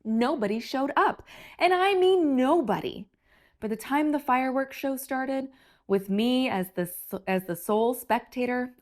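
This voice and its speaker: A woman reading a picture book aloud for children with expressive, theatrical emphasis. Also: a little high. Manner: animated, theatrical emphasis for young listeners.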